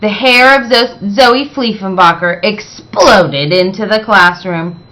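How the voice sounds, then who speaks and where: A woman reading a children's picture book aloud as an expressive storyteller, with deliberate, dramatic emphasis. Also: mid-range voice.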